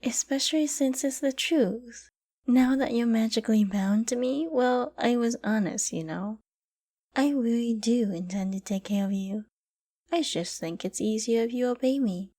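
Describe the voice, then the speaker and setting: A woman voicing a possessive, lovestruck, dominant fox-girl mage in an intimate ASMR roleplay, speaking softly and often dropping to a whisper. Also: a little high, lightly acted. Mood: possessive, coaxing. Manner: soft, slow, breathy, often dropping to a whisper.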